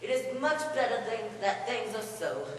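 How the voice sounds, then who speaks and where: A woman playing a cranky, bossy old hypochondriac father in an amateur stage comedy, loudly declaiming, recorded from far off in an echoing hall. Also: on the high side, big pitch swings, moderate pace. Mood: pleased, pompous. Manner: loud theatrical scolding and declaiming. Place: large echoing room at a staged play. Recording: echoey hall from far off, background noise.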